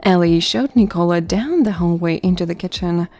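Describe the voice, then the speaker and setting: Dark, mellow-voiced woman narrator. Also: on the low side, normal speed, soft background music. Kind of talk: scripted story narration.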